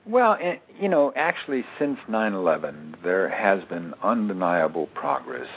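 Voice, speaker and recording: A man giving measured expert explanations as an interview guest, deliberate and halting, laying out points in short phrases. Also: sits low, big pitch swings, slight background noise. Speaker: interview guest, author and terrorism analyst. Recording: thin, dry phone-line sound.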